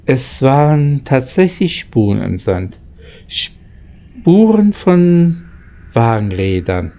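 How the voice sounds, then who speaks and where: A man reading a children's story aloud as an amateur narrator, haltingly, stumbling and rereading phrases. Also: medium pitch, very animated, dark tone.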